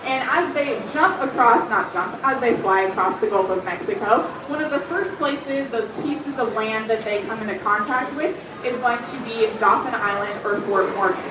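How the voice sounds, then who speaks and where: A woman giving a lively, chatty talk on coastal birds to a live audience, asking the crowd questions and filling gaps with ums. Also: fairly high pitch, moderate pace, hardly any pauses. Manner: says she has a loud voice. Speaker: bird conservation educator. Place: room at an aquarium. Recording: echoey room, far from the mic, background noise.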